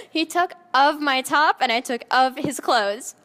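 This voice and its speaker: A woman's voice: sits high, wildly swooping pitch, takes it slowly, sharp tone. A woman narrating fan fiction in a mock-dramatic style, often close to giggling at the text. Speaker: narrator and reader. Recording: long echoing reverb.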